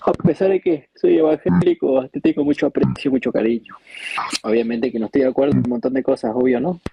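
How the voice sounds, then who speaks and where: A man's voice: medium pitch, steady tone, quick pace, creaky, background noise. An Argentine man joining a debate as a caller, chatting casually in a friendly way and repeating his phrases. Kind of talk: casual chat.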